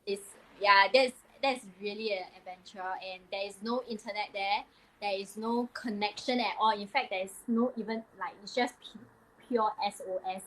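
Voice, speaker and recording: A woman's voice: mid-range voice, fairly even intonation, normal speed, a bit bright, slight background noise, low-quality recording. A Singaporean woman hosting a live stream, chatting casually and coaching in a loose, friendly way.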